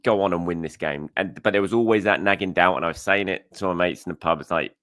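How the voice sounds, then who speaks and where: Croaky low-voiced man talking fast. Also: fairly bright tone, no echo, clean studio sound. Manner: frank, conversational, repeats words for emphasis. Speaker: football show host. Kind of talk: solo reaction commentary.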